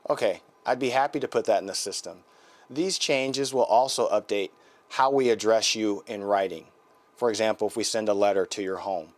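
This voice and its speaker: A man acting as an American clinic receptionist on a scripted training call. The voice sits on the low side, courteous and accommodating, explaining procedures helpfully. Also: normal speed, lightly acted. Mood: reassuring, explanatory. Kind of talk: scripted training role-play phone call.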